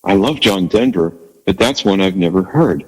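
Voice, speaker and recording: A man with a low bass voice, chatting as a guest in an interview, speaking haltingly and modestly with many restarts. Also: animated, slightly rough, long reverb tail, rough recording. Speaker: guest musician. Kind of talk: casual interview chat.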